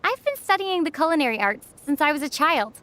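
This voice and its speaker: Game role: hopeful young female cook, high-pitched, eager delivery. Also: big pitch swings, brisk, sharp tone, heavily acted. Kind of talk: video game voice acting.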